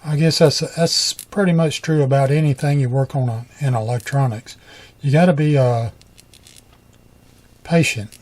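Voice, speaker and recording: A man's voice: medium pitch, moderate pace, a little mellow. A middle-aged man giving a casual hands-on tutorial, talking in a folksy, colloquial way and half muttering to himself as he works. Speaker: repair hobbyist.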